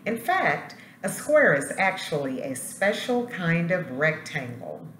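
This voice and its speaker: A woman voicing a warm, instructive kindergarten teacher in a storybook, explaining deliberately. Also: sits low, big pitch swings, exaggerated voice. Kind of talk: storybook character voice. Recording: roomy echo, light background noise.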